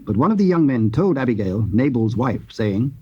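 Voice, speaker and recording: Dark-voiced man narrating scripture. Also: mid-range voice, sing-song, normal speed. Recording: dull old cassette, faint noise.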